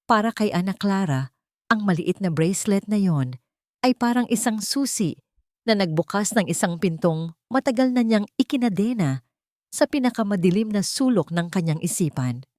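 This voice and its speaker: A woman with a Filipino accent narrates a dramatic audiobook story in measured, expressive short phrases. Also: mid-range voice, no room sound, clean studio sound.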